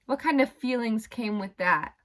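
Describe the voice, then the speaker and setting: Lady teacher w a highish voice doing a read aloud lesson, simple instructions and questions for little kids. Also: normal speed. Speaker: elementary school teacher.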